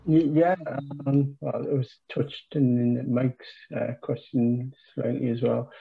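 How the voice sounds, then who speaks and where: A man's voice: medium pitch, level tone, slow, vocal fry, slightly rough. A man from Northumberland in England casually asking questions as an audience member on a tinny, patchy online call. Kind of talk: casual questioning on a video meeting. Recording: tinny, phone-like call audio, breaking up.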